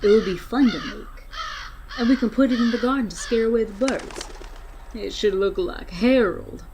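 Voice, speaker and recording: A woman's voice: a little high, moderate pace, darkish tone, voice pushed up, natural voice. A woman voicing a spiteful, uneasy male cowherd in a storybook tale, speaking emphatically. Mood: playful. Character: bored, spiteful young-to-middle-aged male cowherd, growing uneasy. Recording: clearly noisy background.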